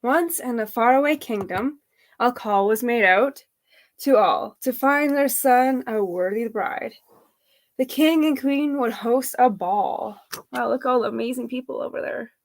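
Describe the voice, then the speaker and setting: A woman's voice: fairly high pitch, a little slow. A woman reading a picture book as a guest, friendly and chatty, with casual asides to the audience. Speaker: drag performer, guest storybook reader.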